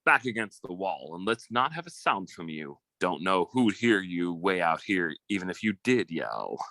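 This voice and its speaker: A woman's voice: rumbling low, very animated, normal speed, fairly bright tone, no echo, full character voice. A woman playing a smug, suave male thief in an old time radio drama, mock-polite and commanding.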